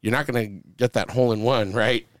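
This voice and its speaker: A man's voice: mid-range voice, fairly even intonation, fairly quick, no room sound. A man co-hosts a podcast, handing out advice in a loose, rambling way. He leans on fillers and sports comparisons. His voice sits mid-range and the sound is close and dry. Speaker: podcast co-host.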